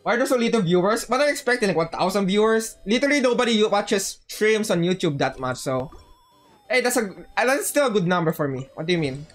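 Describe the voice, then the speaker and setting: A woman streams a video game with a lively, swooping delivery. She banters casually with her chat and often doubles up on words and short phrases. Faint game music plays behind her voice. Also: mid-range voice, quick pace. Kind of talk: casual gameplay chat with viewers.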